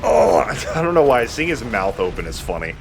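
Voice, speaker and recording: A man's voice: medium pitch, big pitch swings, moderate pace, gravelly, soft background music. A man giving casual, rambling and jokey commentary as he hosts a video game playthrough. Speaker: let's play host.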